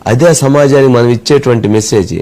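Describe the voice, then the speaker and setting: Man, mid-pitched voice, political commentator, emphatic phrasing, rhetorical questions. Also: fast talker, darkish tone.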